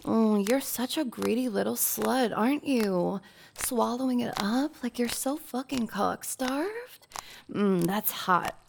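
A woman playing a dominant, teasing boss in an intimate adult audio roleplay, breathy and often dropping into whispers. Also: a little high, slow pace, background noise. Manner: breathy delivery with sighs and drops into whispers.

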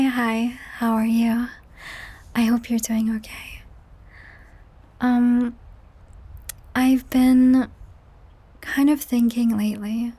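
A young woman hosting a whispered, ASMR-style chat podcast, rambling softly with giggles, her voice strained and cracked. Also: a little high. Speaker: podcast host and audio creator. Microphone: high-end studio microphone.

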